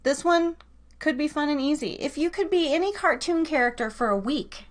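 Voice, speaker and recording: High-voiced woman chatting as a show host. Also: moderate pace. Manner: animated storyteller, slangy. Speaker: host of a live online radio show. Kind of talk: casual, chatty live show talk.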